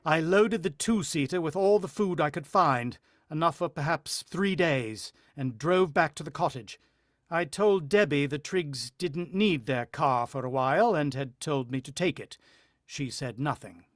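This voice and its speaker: Actor as desperate, haunted man, fairly high voice. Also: unhurried, dry recording, lightly acted. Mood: grim, haunted. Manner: grave, clipped, intense storytelling. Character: desperate, haunted husband narrating a bird-attack siege. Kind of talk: dramatic radio play narration and dialogue.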